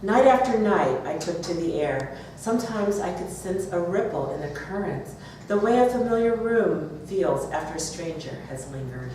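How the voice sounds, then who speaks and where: Low-voiced woman speaking in an echoey room. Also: expressive, moderate pace, few pauses, a little mellow. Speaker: author reading at a bookstore event. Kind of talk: live author talk and story reading. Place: bookstore, audience event. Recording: roomy, distant, noisy live event recording.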